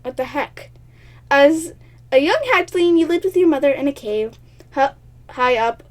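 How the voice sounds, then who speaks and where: Brief: high-voiced woman reading a game aloud, chatty and excitable, stumbles over words, laughs at herself. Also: a little slow. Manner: laughs often, talks at herself. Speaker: gaming channel host.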